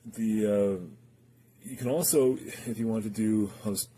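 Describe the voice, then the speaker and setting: A man giving a casual, unscripted product review as a guitar pedal reviewer, speaking in a hesitant, halting way with thoughts that trail off. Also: on the low side, fairly even intonation, warm tone, slight background noise.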